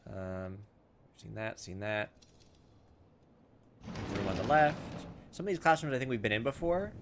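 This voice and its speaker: A man's voice: on the low side, unhurried. Casual male gamer over noticeable background noise. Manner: informal, self-deprecating, thinking aloud. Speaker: gaming video host. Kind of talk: casual game commentary mixed with reading on-screen text aloud.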